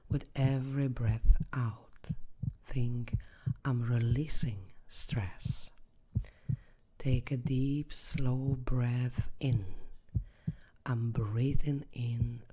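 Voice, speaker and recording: A meditation guide speaking softly and soothingly in short, measured phrases, often dropping close to a whisper. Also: medium pitch, slightly rough.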